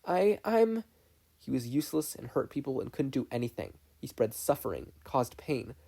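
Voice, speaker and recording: Casting: audiobook-style narrator for fan fiction, medium-low androgynous pitch, dark mellow tone. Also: brisk, vocal fry, slightly rough. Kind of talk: scripted story narration.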